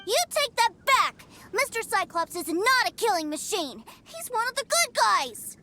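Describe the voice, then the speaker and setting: An excitable little boy. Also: very high-pitched, measured pace, noisy background, exaggerated voice. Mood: indignant. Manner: eager, excitable, sing-song chatter. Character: cheerful little boy, stubborn and trusting. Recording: game cutscene with loud music. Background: game music.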